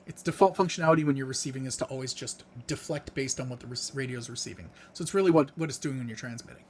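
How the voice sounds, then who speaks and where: A man's voice: medium pitch, racing, slight background noise. A man presenting a hands-on gadget demonstration in a casual, unscripted way, explaining things in plain, informal words.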